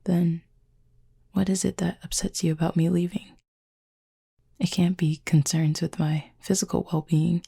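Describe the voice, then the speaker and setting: A woman playing an injured fallen cupid in an intimate roleplay audio, soft and breathy, with a formal, measured, dryly aloof but curious manner. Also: lowish voice, flat delivery, full character voice. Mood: guarded, formal. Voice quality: soft, breathy, husky with fry. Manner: measured, formal, wordy phrasing.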